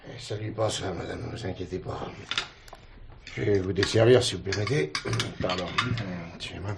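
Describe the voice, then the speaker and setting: An actor playing a gruff, bossy innkeeper father who turns falsely polite with guests, in a brusque, colloquial television drama style. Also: sits low, normal speed. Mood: offhand. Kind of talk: French television drama acting. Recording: echoey room, clearly noisy.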